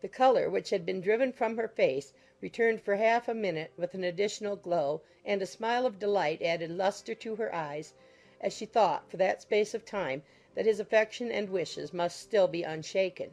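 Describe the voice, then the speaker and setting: A woman reading a classic novel as an audiobook narrator, in measured, formal storytelling style. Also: mid-range voice, a little mellow. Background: music under the voice.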